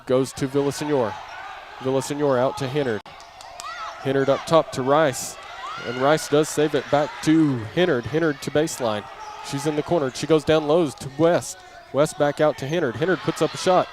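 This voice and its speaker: A man's voice: on the high side, steady tone, moderate pace. Male basketball announcer over noisy gym sound. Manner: clipped running description, raising voice on action. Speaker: play-by-play announcer. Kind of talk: live basketball radio commentary. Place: indoor basketball gym during game. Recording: noisy gym ambience, bouncing balls.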